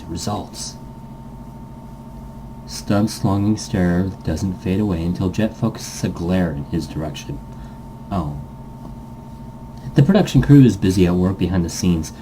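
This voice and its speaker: A man's voice: deep voice, a little slow, pauses often, background noise. A man hosting a game playthrough, rambling and thinking aloud in casual commentary as he reads game text and reacts to it. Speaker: host of a visual novel playthrough series.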